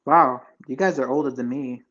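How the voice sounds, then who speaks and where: A man's voice: medium pitch, moderate pace, a bit bright, muffled recording. A man in his twenties chatting casually with his live viewers, rambling loosely with lots of fillers and restarts. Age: 20s. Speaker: livestream host chatting with viewers.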